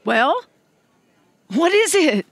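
A woman's voice: high-pitched, very animated, drawn-out words, no room sound, full character voice. An actress playing a brash, wisecracking, hard-drinking girlfriend in an audio comedy drama. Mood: wry.

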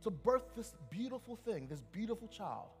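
A man's voice: sits high, sing-song, normal speed, darkish tone, in a large hall, faint music behind. A male pastor preaching a sermon in a church. He speaks emphatically, often restarting his sentences and calling out to the congregation. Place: church sanctuary.

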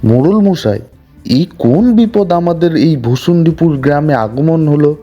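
A man's voice: a little high, lively intonation, moderate pace, lo-fi sound, faint music behind, natural voice. Man doing a timid, swooping rustic villager. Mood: shaken. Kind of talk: Bengali cartoon story voice acting.

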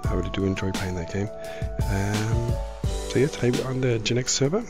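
A middle-aged man with a croaky voice, giving casual, easygoing gaming commentary as the host of a video. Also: on the low side, fairly quick, over loud music. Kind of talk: casual Minecraft let's-play commentary.

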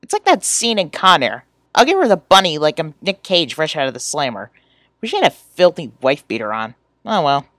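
Woman voicing a lively, goofy teenage boy. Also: deep voice, a little fast, no room sound. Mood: playful, then mildly frustrated. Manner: animated, playful, exclamatory. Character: goofy, earnest, teasing teenage boy from a webcomic. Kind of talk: amateur character voice-line reading.

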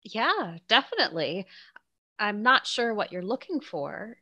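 An actress playing a generous, breezy well-off friend in a modern radio play. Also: female voice, mid-range voice, very animated, unhurried, pushed and bright, dry recording, dull audio, full character voice.

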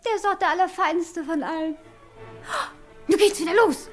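A woman playing a giddy, cheeky, dreamy teenage village girl in a German radio drama, excitable and pert. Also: very high voice, a little slow. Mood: giddy, excited. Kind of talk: mid-century German radio drama acting. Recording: 1960s radio play with music behind.

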